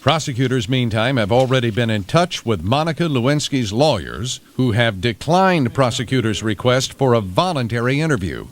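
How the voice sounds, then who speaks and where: An American man reading scripted radio news, dropping in deadpan asides. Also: medium pitch, normal speed, hardly any pauses. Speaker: radio newscaster. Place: radio studio.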